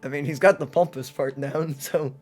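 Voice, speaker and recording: A man's voice: fairly high pitch, fairly even intonation, normal speed, darkish tone, soft background music. A man giving casual, playful let's play commentary, thinking aloud in an informal way.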